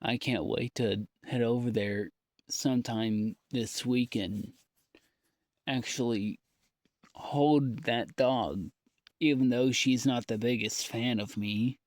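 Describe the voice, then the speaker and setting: A man speaks into a personal audio log at a slow, halting pace, leaving long pauses between thoughts. His delivery is downbeat and folksy, rambling in a confessional way. The sound is dry, with no room around the voice. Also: medium pitch, steady tone, dry recording. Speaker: audio diarist.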